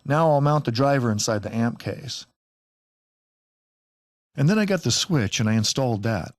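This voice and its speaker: A man's voice: medium pitch, expressive, moderate pace, no room sound, studio quality. A man presenting a casual DIY tutorial in a plain-spoken, lightly wry way. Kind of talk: casual how-to narration.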